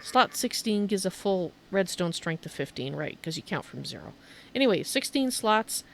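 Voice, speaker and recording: A woman's voice: mid-range voice, sing-song, moderate pace, gravelly, slight background noise. A woman giving a casual, chatty game tour as a streamer, thinking aloud as she shows things off. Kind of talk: casual gameplay commentary and show-and-tell.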